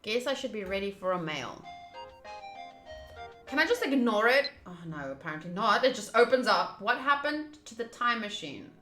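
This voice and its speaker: A woman's voice: medium pitch, very animated, moderate pace, sharp tone. A woman streaming a game, reading visual novel text aloud and slipping into chatty, casual asides to viewers. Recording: roomy echo. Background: game music.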